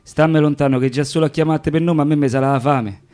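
An actor playing a wary, brusque working-class prisoner with a Roman accent in a radio drama. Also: male voice, on the high side, fairly even intonation, fast talker, a little mellow, close to an everyday voice. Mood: irritated warning. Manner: curt, guarded. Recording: music behind the dialogue.

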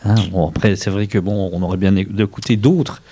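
A man's voice: lowish voice, fairly quick, dark tone, a little hiss or hum. A man hosting a casual radio interview, constantly chiming in with eager agreement. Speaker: radio host.

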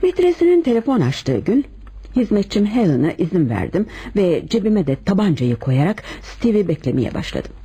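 A husky woman in a radio drama, a lovelorn wife confessing to killing. Her mellow delivery is measured and dramatic, with lively swings in pitch. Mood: grave recounting. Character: confessing murderess wife, composed, lovelorn, resigned. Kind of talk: radio theater acting in Turkish drama.

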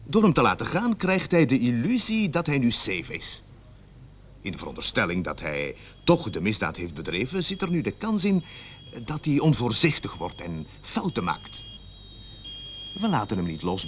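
A man's voice: mid-range voice, a little hiss or hum, close to an everyday voice. A middle-aged man acting in a radio play as a seasoned, level-headed police sergeant who reasons with patient authority. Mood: measured.

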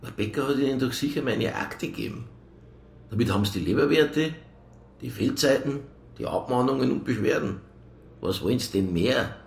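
An actor playing a fat, hard-drinking, weary police inspector in a radio crime drama, dry and blunt. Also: male voice, mid-range voice, lively intonation, normal speed, vocal fry. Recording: roomy radio play studio sound.

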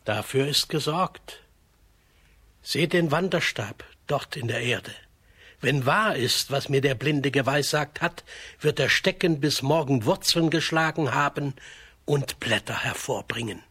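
A gentle old peasant father for a children's radio play. He speaks slowly and earnestly, with a very raspy, breathy voice and a halting, careworn manner. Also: mid-range voice. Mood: earnest, pleading.

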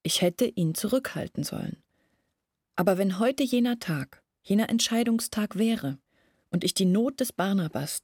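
An actress in a German radio drama playing an earnest, anguished young woman who confides her family's hardship in a deliberate, self-reproachful way. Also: mid-range voice, gravelly, a little mellow, breathy edge, dry recording, studio quality. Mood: sorrowful, resolute.

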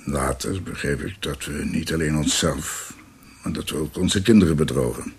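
An actor playing a guilt-ridden older former judge in a radio drama, husky, halting and formal, a proud and guarded father. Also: extremely low pitch, heavily acted. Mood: remorseful.

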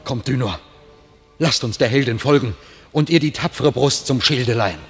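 A man playing a loyal knight, declaiming steady earnest verse in a classic radio play. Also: medium pitch, moderate pace, faint music behind, lightly acted. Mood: resolute.

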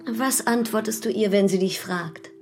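A woman's voice: mid-range voice, moderate pace, a little mellow, faint music behind, natural voice. An actress playing a passionate, insistent married woman, speaking intimately and questioningly in a German radio drama. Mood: seductive, tender.

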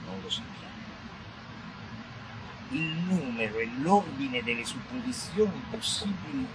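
A man giving a theatrical recitation of poetry on radio, declamatory and halting, drawing out words with strong swings in emphasis. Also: fairly high pitch, pushed and bright. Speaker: reader performing a poetic radio text. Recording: noisy radio broadcast recording.

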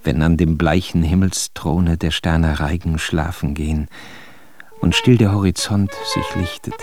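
An actor playing a solemn, lyrical poet reciting romantic verse in a radio play. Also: male voice, low voice, steady tone, unhurried. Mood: lyrical, yearning. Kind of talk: slow poetry recitation. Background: music.

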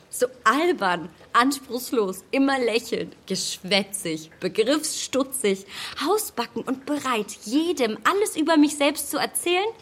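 Self-assured, haughty young heiress. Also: female voice, on the high side, fairly bright tone, exaggerated voice. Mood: mocking. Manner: poised, articulate, superior. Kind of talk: German radio play acting.

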